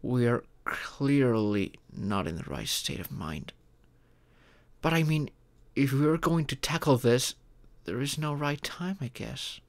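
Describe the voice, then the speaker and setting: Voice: medium pitch, expressive, slow. A voice actor playing an upset, caring live-in partner in an intimate audio roleplay, emotional and pleading. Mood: apologetic, sad. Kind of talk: intimate ASMR roleplay voice acting, one side of dialogue.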